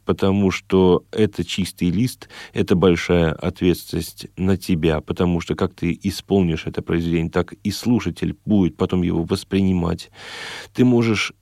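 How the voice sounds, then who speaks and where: Low-voiced man, halting interview guest. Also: steady tone, creaky, darkish tone, no room sound, studio quality. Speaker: guest, chamber singer. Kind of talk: reflective interview talk about creative work.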